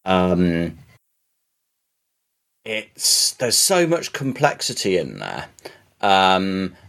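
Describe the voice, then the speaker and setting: A man chatting casually on a podcast, rambling with many restarts and swearing now and then as he gets excited. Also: low voice, very slow, vocal fry. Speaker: podcast co-host. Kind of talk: casual podcast chat about games.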